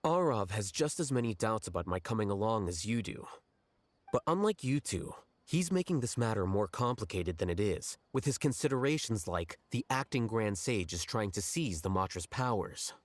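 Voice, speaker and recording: A man as an aloof, low-voiced deadpan scholar. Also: no echo, close to an everyday voice. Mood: detached, explaining. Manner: deadpan, dry, measured, precise. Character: aloof, blunt, rational young male scholar-official. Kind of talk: video game voice acting.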